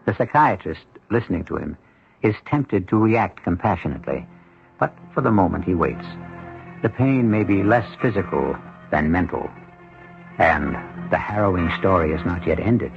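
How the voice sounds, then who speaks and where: A man hosting and narrating a 1970s radio drama in a grave, measured, dramatic manner. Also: sits low, animated, gravelly. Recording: lo-fi old radio, music behind.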